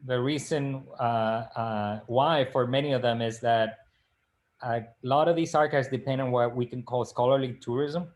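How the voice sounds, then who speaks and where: A middle-aged man from the Dominican Republic talks over a video call in a middle pitch. He keeps stopping to fill gaps with "uh" and restart his sentences, as a co-host in a relaxed discussion. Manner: halting, many "uh" fillers and restarts. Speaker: librarian and co-host. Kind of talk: casual live-stream discussion.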